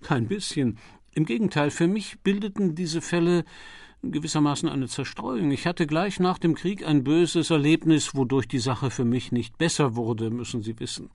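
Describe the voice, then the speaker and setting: A man voicing a courteous, understated aristocratic gentleman answering as a patient in an audiobook. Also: a little high, normal speed, no echo, studio quality. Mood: understated, candid. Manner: understated, polite, self-deprecating. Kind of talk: audiobook character dialogue.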